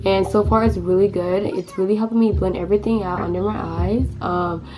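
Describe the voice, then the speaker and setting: A hoarse teenage girl talks through her makeup routine in a loose, rambling way, stopping and starting her sentences. Her pitch sits in the middle range. Clear background music plays under her voice. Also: fairly even intonation, fairly quick, low-quality recording. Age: teens. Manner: chatty, rambling, lots of fillers and restarts. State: hoarse, lost voice. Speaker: beauty vlogger. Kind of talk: casual makeup tutorial chat.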